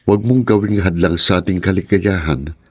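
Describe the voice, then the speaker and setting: An actor playing an earnest, devoted, romantic sweetheart in a Filipino radio drama. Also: male voice, low-pitched, normal speed, close to an everyday voice. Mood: earnest, pleading.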